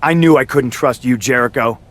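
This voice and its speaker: A man's voice: medium pitch, moderate pace, fairly bright tone, a little hiss or hum, close to an everyday voice. A man playing a menacing, accusing wrestler in a scripted video game cutscene. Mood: angry.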